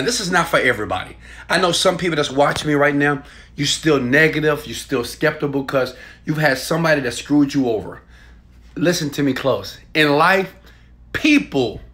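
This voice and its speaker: A man in his thirties, filmed on a phone held at arm's length in a small room at home, giving a hyped and preachy sales pitch with loud bursts and quieter asides. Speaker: online marketing coach. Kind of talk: selfie video sales pitch.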